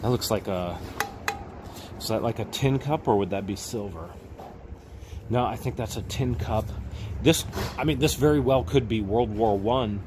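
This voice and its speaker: A man's voice: lowish voice, moderate pace, pauses often. Exclaiming man with background noise behind him. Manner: exclaiming, repeats himself, talks to viewers. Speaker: vlog host. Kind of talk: casual unboxing commentary.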